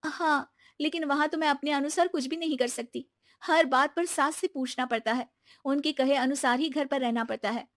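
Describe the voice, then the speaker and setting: Moral-story young wife, played by a woman: high-voiced, polite, earnest and dutiful, slightly pleading. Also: steady tone, moderate pace, no room sound, clean studio sound. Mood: hurt, pleading. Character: Mansi, earnest dutiful young daughter-in-law. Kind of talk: animated Hindi moral story dialogue.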